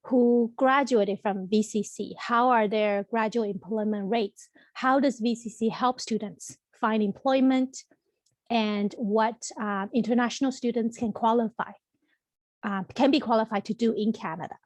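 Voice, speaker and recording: A woman's voice: on the high side, steady tone, measured pace, dry recording. Woman presenting on a video call. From Taiwan. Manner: friendly, explanatory, with frequent ums and restarts. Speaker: college representative presenting to students. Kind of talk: online information presentation. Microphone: computer mic on a video call.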